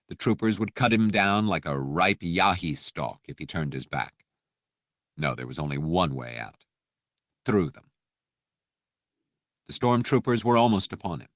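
A man reading a scripted audiobook story with measured, precise, dramatic narration. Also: low-pitched, fairly bright tone, no room sound, studio quality.

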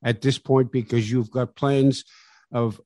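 A man hosting a podcast interview, asking questions with frequent halting restarts. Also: medium pitch, steady tone, mellow, no room sound. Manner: halting, repeats words. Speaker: podcast host.

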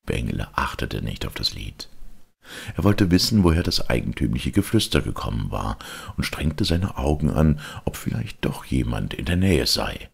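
A very deep-voiced middle-aged man narrates a children's story. His voice is gravelly and husky, and his delivery swings widely in pitch at an unhurried pace. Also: very animated. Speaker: audiobook narrator. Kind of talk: expressive scripted storytelling for children.

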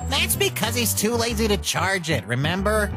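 A man's voice: high-pitched, very animated, normal speed, music in the background. A man voicing a snide, irritable talking flower in a comic dub, sneering and griping. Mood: irritated, snide. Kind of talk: fan comic dub acting.

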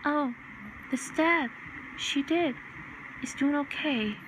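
A woman's voice: high-pitched, slow pace, fairly bright tone, soft background music, rough recording. A woman playing a cool, businesslike young maid fighter in an amateur cartoon skit, with terse lines on a hissy recording. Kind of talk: amateur animated skit dialogue.

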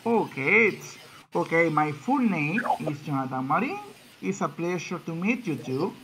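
A man's voice: a little high, lively intonation, unhurried, a bit bright, slight background noise. A man, teaching English on a video call and repeating his phrases as he coaxes. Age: 20s. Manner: repeats his own phrases, coaxing and encouraging. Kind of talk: online English conversation class. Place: small room indoors. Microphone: laptop webcam on video call.

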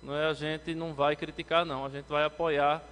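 A man in his thirties, from Brazil, delivers a formal floor speech to a city council, addressing the chair. His voice sits a bit high and climbs further when he turns critical. The lectern mic picks up the long ringing reverb of the council chamber. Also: little pitch movement, fairly quick, a bit bright. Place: council chamber, indoors, medium-sized. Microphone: lectern microphone, standing.

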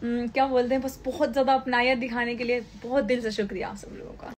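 A woman's voice: fairly high pitch, level tone, quick pace, a little hiss or hum. A young woman talking to her phone camera in a casual vlog, chatty and laughing often as she thanks her followers. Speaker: influencer vlogger. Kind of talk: casual selfie vlog chat. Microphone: phone held at arm's length.

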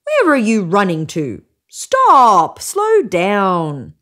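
A woman doing a gruff bossy brown bear. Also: medium pitch, very animated, a little slow.

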